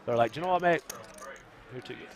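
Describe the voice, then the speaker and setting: A man commentating a live poker stream from a casino booth, reading out chat and cracking quick one-liners in a soothing radio voice. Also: mid-range voice, expressive, creaky, a crowd in the background.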